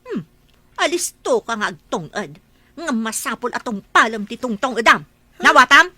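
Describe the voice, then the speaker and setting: A stern, scheming woman lays down orders and threats in a sharp, bright voice, as an actress plays her. The sound is dry and close, as in a radio play. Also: on the high side, lively intonation, moderate pace, dry recording, full character voice. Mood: threatening. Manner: commanding, threatening. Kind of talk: radio drama acting.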